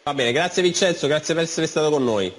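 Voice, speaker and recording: A man in his thirties hosting a studio interview, brisk and steering the questions, in a TV studio. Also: fairly high pitch, expressive. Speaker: TV host.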